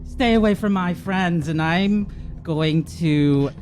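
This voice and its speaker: A man's voice: sits high, takes it slowly, a little mellow, a little hiss or hum. A man playing a tabletop game, casually describing what his character feels and does. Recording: music behind the voice. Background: background music.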